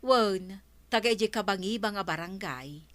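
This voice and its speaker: A woman's voice: mid-range voice, lively intonation, normal speed, a bit bright, no room sound, natural voice. A woman with an Ilocano accent playing a chatty, exasperated village wife in a Filipino radio comedy drama.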